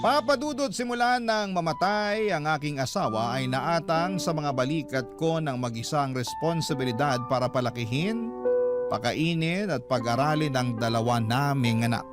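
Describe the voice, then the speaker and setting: A man's voice: on the high side, normal speed. A man with a Filipino accent reading a letter dramatically as a radio storyteller. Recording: music behind the voice. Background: music.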